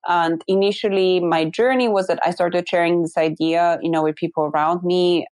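Woman with a low voice, reflective founder telling her story in an interview, really dry close sound. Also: fairly even intonation, normal speed, a little mellow, no room sound. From Bulgaria. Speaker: interview guest, company founder.

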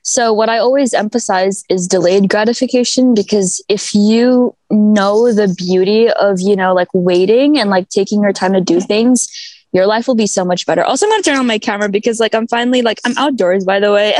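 A woman's voice: mid-range voice, a little mellow, no echo, low-quality recording. A young woman speaking as an activist guest in a casual video-call interview, chatty and upbeat with lots of fillers. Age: young adult. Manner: chatty and upbeat, quick laughs, many fillers. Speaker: mental health activist, guest. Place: outdoors at a school.

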